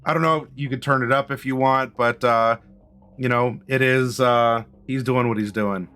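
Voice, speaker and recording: A man with a squeaky voice narrating a tabletop game as game master, halting and often clearing his throat. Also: fairly even intonation, bright, forceful tone, faint music behind.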